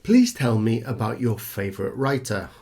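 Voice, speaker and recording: A man plays the examiner, reading out scripted questions and instructions. His voice is husky with plenty of fry. His intonation moves up and down in a lively way. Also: medium pitch, moderate pace.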